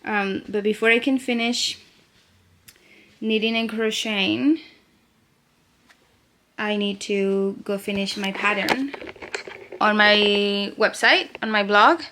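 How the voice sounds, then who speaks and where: A woman's voice: medium pitch, steady tone, a little slow, long pauses, pushed and bright. A young woman from Chile, a native Spanish speaker, chatting casually at home about her knitting and crochet designs and thinking aloud. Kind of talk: casual vlog chat.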